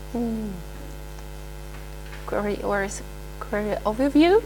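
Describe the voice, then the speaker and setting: A woman researcher giving a live software demonstration, pausing often with fillers and restarting her sentences. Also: on the high side, slight background noise, low-quality recording. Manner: hesitant, many filler sounds and restarts. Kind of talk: live software demo talk.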